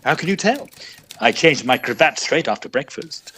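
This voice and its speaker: A man's voice: medium pitch, animated, normal speed, pushed and bright, slightly rough. A man playing an eager, inquisitive Edwardian ghost hunter in an audio drama, with theatrical, formal diction. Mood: slightly flustered. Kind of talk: audio drama narration and dialogue.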